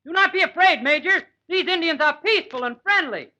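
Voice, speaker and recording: Chirpy, extremely high-voiced scout. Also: male voice, expressive, brisk, heavy background noise. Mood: guileless. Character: naive, chirpy comic scout, reassuring and guileless. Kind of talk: 1940s radio comedy acting.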